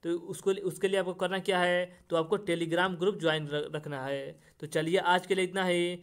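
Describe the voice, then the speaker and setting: A man with a notably high-pitched voice talks to his viewers as a coaching teacher. He explains things informally and leans on repeated stock phrases, addressing the listener directly again and again. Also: fairly even intonation, normal speed. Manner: repetitive, formulaic phrasing with frequent direct address. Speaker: exam-coaching teacher and channel host.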